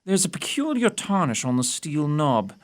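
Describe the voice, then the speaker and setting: A man's voice: a little high, big pitch swings, moderate pace. A man playing an arrogant, touchy young detective in audio theatre, speaking formally and with dry precision. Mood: intent, assured. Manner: formal, precise, dryly sardonic.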